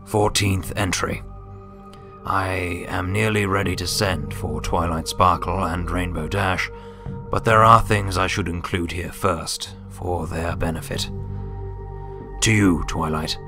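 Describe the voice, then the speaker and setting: A man voicing a grave, scholarly old male wizard pony reading out his journal in a formal, deliberate, subdued manner. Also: low-pitched, fairly even intonation, music in the background. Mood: deliberate explaining.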